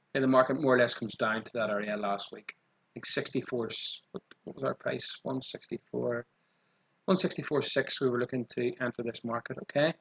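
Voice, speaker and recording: Irish man, fast talker, chart walkthrough, slightly muffled desk mic. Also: mid-range voice, steady tone, vocal fry, fairly bright tone, husky. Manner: quick, repeats 'okay' as a filler. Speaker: trading channel host. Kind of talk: screen-share chart walkthrough.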